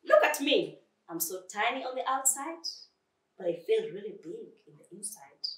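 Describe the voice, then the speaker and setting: Woman acting a tiny, earnest flea, lively pitch. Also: moderate pace, echoey room, recorded from a distance. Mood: encouraging. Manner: pleading, encouraging. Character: tiny, earnest flea, loyal urging friend.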